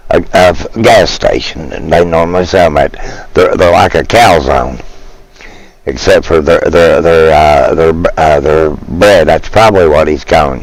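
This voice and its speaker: Deep-voiced virginia guy, rambly and halting, dry close sound. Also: creaky, dry recording, poor audio. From West Virginia. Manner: rambling, halting, stops to search for words. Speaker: streamer. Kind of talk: casual chat with live viewers.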